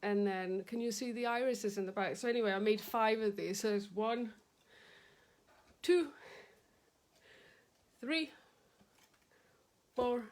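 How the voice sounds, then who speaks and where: A woman's voice: on the high side, slow pace, long pauses. A middle-aged woman with a slightly nasal voice, hosting a casual craft live stream, chatty and rambling, laughing often and greeting viewers by name. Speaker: craft livestream host.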